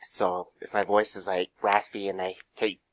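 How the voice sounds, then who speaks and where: A hoarse young man with a cold, giving a casual class presentation, full of fillers and pauses. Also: low-pitched, level tone, slight background noise. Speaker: student presenter.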